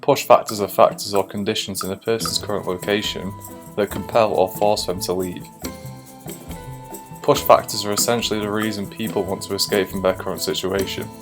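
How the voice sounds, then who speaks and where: A man narrating a documentary in a steady, explanatory scripted delivery. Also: fairly low pitch, fairly quick. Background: guitar music.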